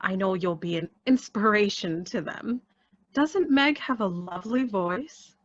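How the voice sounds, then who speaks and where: A courteous professional woman played by an actress in a radio drama. Her voice is mid-pitched and formal, heard very dry and a little dull. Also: animated, measured pace, no echo. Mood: polite, professional. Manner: polite, formal. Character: courteous, professional radio interviewer and agency worker. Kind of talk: radio play acting.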